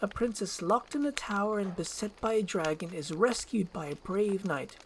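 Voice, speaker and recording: A woman's voice: mid-range voice, normal speed, slight background noise, lightly acted, low-quality recording. A woman voicing a haughty young princess with formal, courtly phrasing in an amateur screenplay reading. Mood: insistent. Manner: imperious, archaic courtly phrasing.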